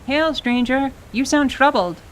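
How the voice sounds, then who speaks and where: A woman's voice: high-pitched, unhurried, rough recording. Woman as a cheeky young tiefling girl, faint noise. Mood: mock solemn. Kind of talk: dramatized radio theater acting.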